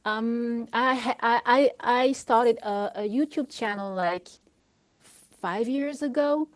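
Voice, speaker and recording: A woman with a Belgian French accent speaks slowly and haltingly. She fills her sentences with ums and restarts, asking a question in casual chat. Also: fairly high pitch. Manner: hesitant, many fillers and restarts. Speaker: audience member asking a question. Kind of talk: casual chat, audio room.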